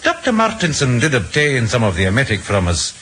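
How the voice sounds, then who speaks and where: A man's voice: medium pitch, huge pitch swings, brisk, fairly bright tone. A male actor playing a cautious, matter-of-fact chemist in an old radio drama, hesitant as he explains. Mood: cautious, informative. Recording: hissy old radio recording.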